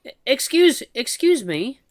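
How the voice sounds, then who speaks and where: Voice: sits high, big pitch swings, unhurried, no echo, faint music behind, pitched above the performer's own voice. A game reader voicing a nervous young mouse woman who stammers and apologizes.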